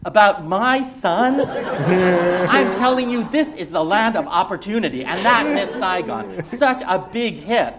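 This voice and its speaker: A man voicing a proud, boastful older immigrant father, a successful banker who chatters loudly and excitedly about his son. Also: high-pitched, a little slow, a crowd in the background, pitched above the performer's own voice.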